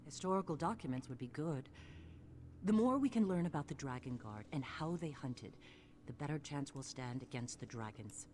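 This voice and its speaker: An actor voicing an earnest, scholarly adventurer in a fantasy game, speaking in a formal, measured, purposeful way. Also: mid-range voice, animated, darkish tone, slight background noise, close to an everyday voice. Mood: earnest, resolute. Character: earnest scholarly adventurer planning a tomb search. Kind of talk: scripted fantasy game dialogue.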